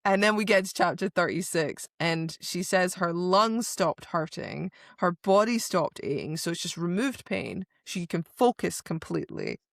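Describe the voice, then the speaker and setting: A woman co-hosting a podcast, excitedly retelling book scenes in slangy casual chat with dramatic quoting. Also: lowish voice, normal speed, gravelly, hardly any pauses, no echo, clean studio sound. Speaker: podcast co-host.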